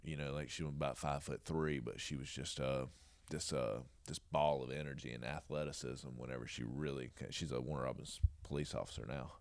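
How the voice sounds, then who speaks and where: A middle-aged man with a deep, booming voice and a Southern Georgia accent, rambling through stories with chuckles and fillers as a podcast guest. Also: steady tone, brisk, vocal fry, few pauses. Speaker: podcast guest, jiu jitsu coach. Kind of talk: casual interview storytelling.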